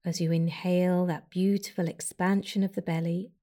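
A woman guiding a breathing meditation with slow, soft, soothing instructions and long pauses. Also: on the low side, level tone. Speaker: meditation guide.

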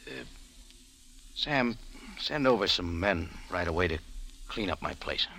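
An actor playing a cynical, world-weary bar owner in a 1940s radio drama, terse, sardonic and slangy. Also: male voice, low-pitched, lively intonation, unhurried, vocal fry, bright, forceful tone, a little hiss or hum, exaggerated voice, poor audio. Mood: weary, cool. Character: hard-boiled cynical bar owner, weary noir adventurer. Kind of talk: 1940s radio detective acting and narration. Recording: old radio recording with music.

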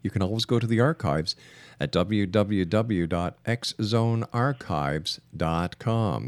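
A man's voice: on the low side, dry recording. A man hosting a radio interview, putting questions to a guest and addressing listeners. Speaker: radio host.

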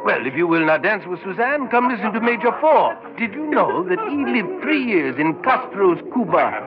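A courtly Frenchman speaks high and lively. He is urbane and flattering in a 1960s radio drama. The recording is dull, with loud music behind. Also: moderate pace. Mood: suave. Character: suave, courtly Frenchman with philosophical wit.

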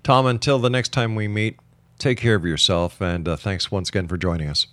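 A man's voice: fairly low pitch, steady tone, brisk, fairly bright tone, no room sound. A man hosting a talk radio interview show in a courteous, announcer-like manner. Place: broadcast studio.

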